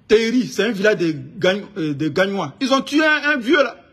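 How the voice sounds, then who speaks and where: A man from Ivory Coast with an Ivorian accent and a husky voice, delivering an informal, indignant political rant, emphatic and repeating his phrases insistently. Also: high-pitched, expressive, normal speed, a bit bright. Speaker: online political commentator.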